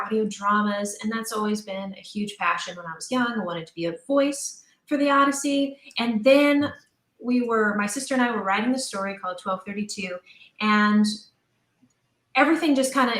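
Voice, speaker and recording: A woman talking as an interview guest about her creative work, enthusiastic, animated and emphatic. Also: mid-range voice. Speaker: guest, audio drama creator. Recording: roomy, echoing sound.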